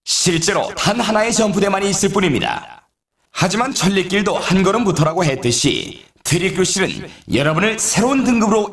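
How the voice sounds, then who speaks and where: A man's voice: high-pitched, a bit bright, exaggerated voice. A man playing an upbeat, showy sports announcer in a video game, with polished, scripted commentary delivery. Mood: instructive, encouraging. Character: male snowboard race announcer, upbeat and showy. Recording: echoey, distant-sounding game audio.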